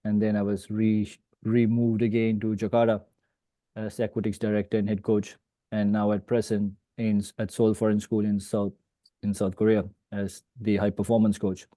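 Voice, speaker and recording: A man who grew up in Australia, introducing himself as a panellist in a halting way, repeating the openings of his phrases. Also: on the low side, completely flat delivery, dark tone, dry recording. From Australia, born in Sri Lanka. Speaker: panellist, swimming coach. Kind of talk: hesitant self-introduction on a panel. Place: online video meeting.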